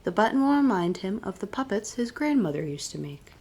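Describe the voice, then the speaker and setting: A woman with a medium-pitched voice reads a children's picture book aloud. She speaks as a storytime librarian, with deliberate phrasing and expressive emphasis on key words. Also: husky. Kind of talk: read-aloud storytime narration.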